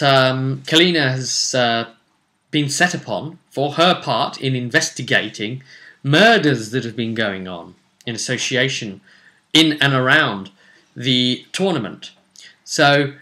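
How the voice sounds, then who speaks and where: A man's voice: mid-range voice, sharp tone. A man recounting a tabletop roleplay session as game master, storytelling and musing aloud with rhetorical questions. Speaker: game master recapping a campaign.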